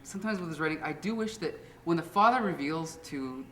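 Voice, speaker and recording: A man's voice: fairly high pitch, lively intonation, fairly quick, pushed and bright. Slightly distant man, casually opinionated. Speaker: comic review show panelist. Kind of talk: casual panel debate. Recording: slightly distant mic, faint noise.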